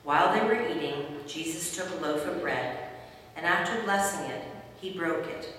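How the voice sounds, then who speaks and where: A woman narrates scripture from a script in a fairly low voice. She is far from the mic in a very reverberant hall, with faint noise. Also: normal speed, a bit bright.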